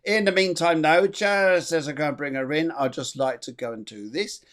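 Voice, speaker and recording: A man's voice: fairly high pitch, normal speed, fairly bright tone. A middle-aged man hosting a live online chat show, chatty and rambling, laughing often. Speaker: host.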